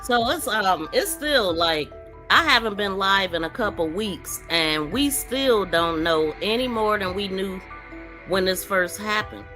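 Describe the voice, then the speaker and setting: A woman's voice: mid-range voice, animated, a little slow, music in the background. A woman hosting a live true-crime commentary stream, talking informally and directly to her viewers in a casual, conversational way. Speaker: livestream podcast host.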